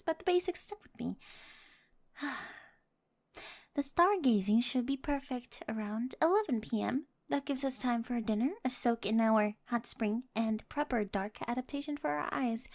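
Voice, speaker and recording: Woman doing a nerdy awkward teen girl with a crush, asmr roleplay, high voice w lots of vocal fry, chatty and whispery. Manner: chatty, quirky, rambling, often dropping to whispers.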